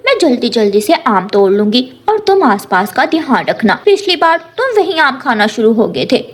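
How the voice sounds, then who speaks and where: A sly and selfish lady crow from a children's cartoon, voiced by a woman. She has a high voice and a scolding, bossy way of talking. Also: big pitch swings, moderate pace. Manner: scolding, sharp-tongued.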